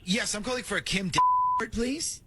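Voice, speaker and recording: A man hosting a morning radio show, talking in an animated, conversational way during a prank call segment. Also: high voice, normal speed, slightly rough.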